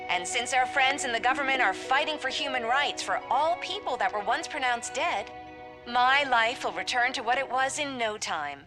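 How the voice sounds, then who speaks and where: A chirpy resurrected cyborg woman pitches a product in a game commercial. Her voice is high and very animated, with polished salesy cheer over loud music. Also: moderate pace. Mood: bright, salesy. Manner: upbeat, salesy. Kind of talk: polished promotional ad read. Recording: loud music bed under voice.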